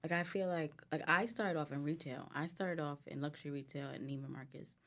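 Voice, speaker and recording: A woman's voice: deep voice, steady tone, brisk, fairly bright tone. A woman with a Guyanese accent, a guest entrepreneur in a chatty, candid conversation. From United States, Guyanese family. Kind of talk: casual chat over cocktails.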